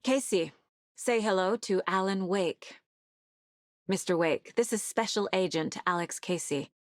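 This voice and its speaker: An actress playing a determined, focused female FBI agent in a video game, with a serious, measured investigator's delivery. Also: medium pitch, no room sound, clean studio sound, close to an everyday voice. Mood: businesslike. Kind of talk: scripted video game dialogue and inner monologue.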